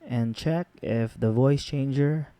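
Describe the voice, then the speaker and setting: A man's voice: medium pitch, takes it slowly, mellow, clean tone, no echo. A man hosting a tutorial, giving casual step-by-step instructions as a close, dry voice-over. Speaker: tutorial host.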